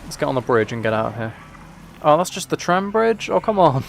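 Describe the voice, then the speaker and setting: A man's voice: on the high side, big pitch swings, a little fast, gravelly, slight background noise. A man giving casual, playful gameplay commentary, often talking to himself. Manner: playful, talks and sings to himself. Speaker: game streamer.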